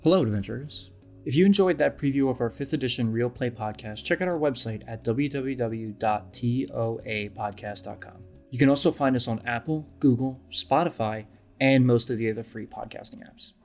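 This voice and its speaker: A man's voice: mid-range voice, moderate pace, a little mellow, faint music behind. A man delivering scripted narration and promotion as a podcast storyteller.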